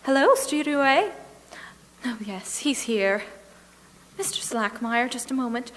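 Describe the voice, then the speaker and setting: Woman as radio studio staffer, high-pitched, bright, brisk, concerned, stage comedy. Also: expressive. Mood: anxious. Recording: echoey hall.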